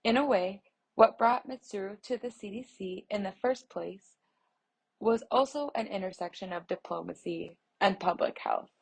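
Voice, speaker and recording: A woman reads a news feature out loud at a mid-range pitch, her delivery steady and measured. She stumbles on a word now and then, restarts, and carries on in the same even manner. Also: creaky, slightly rough, poor audio. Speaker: voice interpreter reading an article. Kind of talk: reading a news feature aloud.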